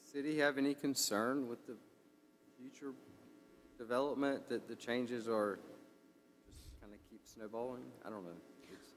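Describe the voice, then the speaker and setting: A man's voice: fairly even intonation, moderate pace. Tentative man in a reverberant, noisy hall. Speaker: review commission member. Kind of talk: meeting discussion. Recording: reverberant hall with rumbling noise.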